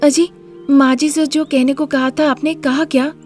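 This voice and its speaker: Woman voicing a high-pitched, meek young daughter-in-law. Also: level tone, moderate pace, darkish tone, faint music behind, lightly acted. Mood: plaintive, hurt. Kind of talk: Hindi moral story character voice.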